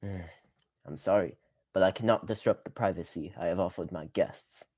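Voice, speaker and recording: Teen actor as a protective fantasy tavern keeper. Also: male voice, deep voice, moderate pace, a little mellow, slightly rough. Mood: firm, defiant. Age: teens. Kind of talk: student radio theatre acting.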